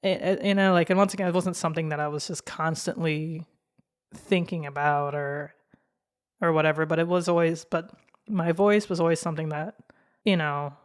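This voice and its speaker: Young woman podcast host with a slow, flat, monotone voice, casual and candid. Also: deep voice. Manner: laid-back and candid, with frequent laughs and fillers. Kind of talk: casual solo podcast monologue.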